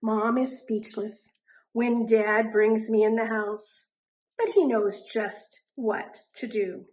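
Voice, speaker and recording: A woman reading a children's picture book aloud in an animated way, drawing out words for emphasis. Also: on the high side, low-quality recording. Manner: animated, deliberate, drawn-out emphasis on rhyming words. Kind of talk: expressive children's storybook narration.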